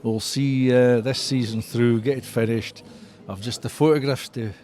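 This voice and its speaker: A man's voice: medium pitch, steady tone, moderate pace, mellow. Older Scottish Borders man, club historian. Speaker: rugby club historian, interview guest. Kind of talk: conversational interview, recounting club history.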